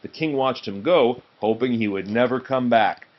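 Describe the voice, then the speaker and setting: A man reading a children's storybook aloud in an expressive narrating style. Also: mid-range voice, moderate pace, lo-fi sound. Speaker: storybook reader.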